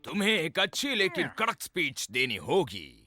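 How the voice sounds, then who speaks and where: A man's voice: fairly high pitch, wildly swooping pitch, moderate pace, sharp tone, soft background music, heavily acted. An actor playing an officious, easily impressed male government inspector in a cartoon, with emphatic delivery. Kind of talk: Hindi-dubbed cartoon acting.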